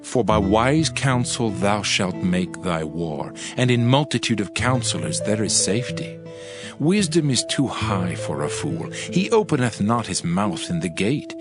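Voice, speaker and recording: Low-voiced man reading scripture over music. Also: big pitch swings, a little slow. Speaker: scripture reader. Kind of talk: dramatized audio Bible reading. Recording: music behind the voice.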